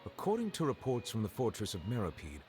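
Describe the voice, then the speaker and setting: A man voicing a stern chief justice in a video game, formal, restrained and deliberate. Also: fairly low pitch, huge pitch swings, darkish tone. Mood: grave. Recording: game music behind.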